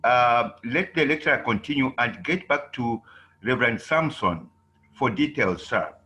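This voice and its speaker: A man's voice: medium pitch, lively intonation, normal speed, sharp tone. A man moderating an online class, politely but firmly keeping order. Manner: polite but firm, says "sir".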